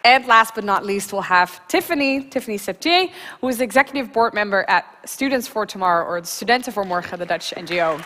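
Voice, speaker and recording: A woman's voice: medium pitch, animated, fairly quick, pushed and bright, a faint crowd, slight background noise. A young woman hosting a live event on stage, chatty and informal with frequent fillers. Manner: chatty, many fillers, laughs often. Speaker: event host. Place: large stage hall. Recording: reverberant hall.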